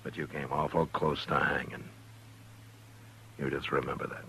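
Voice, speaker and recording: Deep-voiced, steady American frontier marshal. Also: male voice, gravelly, slightly rough, full character voice. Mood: stern. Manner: measured, firm, understated. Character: steady, stern adult US marshal of a frontier town. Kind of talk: 1950s radio western acting. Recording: lightly noisy old radio recording.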